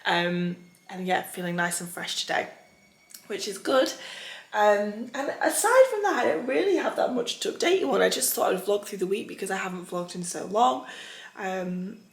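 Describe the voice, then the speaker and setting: Husky woman vlogging. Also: fairly low pitch. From England. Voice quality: husky, raspy. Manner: chatty, many ums, upbeat. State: recovering from tonsillitis. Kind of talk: casual vlog chat. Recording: echoey room.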